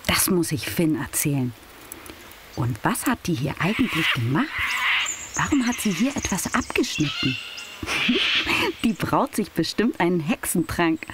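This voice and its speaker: Actress as curious teenage girl for audio drama, slangy and excitable, lively lowish voice, some background noise. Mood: amazed, curious. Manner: slangy, youthful.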